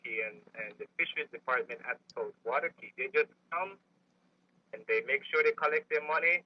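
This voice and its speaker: A man calling a radio show by phone, speaking in an earnest, halting way. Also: on the high side. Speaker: phone-in caller, tour guide and dive master. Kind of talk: unscripted complaint to a radio show. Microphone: telephone. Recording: dull lo-fi phone line on radio.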